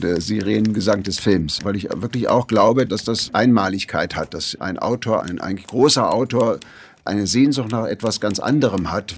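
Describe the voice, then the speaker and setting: A man in reflective spontaneous conversation, searching for his words and seeking agreement. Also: on the low side. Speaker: interviewee recalling an author.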